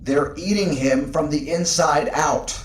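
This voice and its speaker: A man's voice: a little high, unhurried, close to an everyday voice. An actor playing an earnest, worried male crewmate in an audio drama. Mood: alarmed. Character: alarmed, earnest crewmate helping out. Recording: echoey room, faint background noise.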